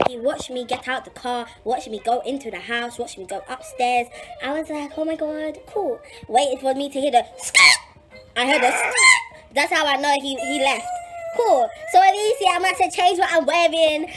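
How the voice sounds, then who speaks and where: A young adult woman with a London accent telling a personal story in casual, slangy, animated chat, repeating phrases for emphasis. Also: sits high, moderate pace, noisy background.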